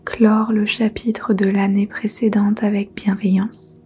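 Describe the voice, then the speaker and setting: A woman leading a guided yoga nidra meditation in a soft, breathy near-whisper, soothing and gentle. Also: mid-range voice, flat delivery, soft background music. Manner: soothing, gentle, unhurried instruction.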